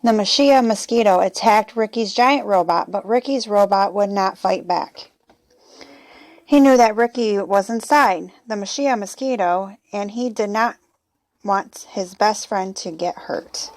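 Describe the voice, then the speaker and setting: A woman reads a children's storybook aloud. Her voice sits at a middle pitch, and she delivers it as a storyteller narrating a chapter book for young listeners. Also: measured pace, rough recording.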